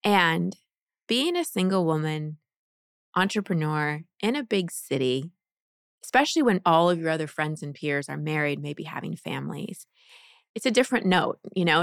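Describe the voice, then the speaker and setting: Earnest woman coach musing out loud, bit of vocal fry, low-ish. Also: no echo, clean studio sound. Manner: reflective, earnest, many "like"s. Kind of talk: casual interview chat.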